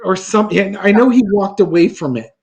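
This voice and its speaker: High-voiced man musing through a tarot reading. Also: expressive, a little fast, darkish tone. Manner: musing, thinks aloud with many fillers and restarts. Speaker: tarot reader. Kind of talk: casual tarot reading chat.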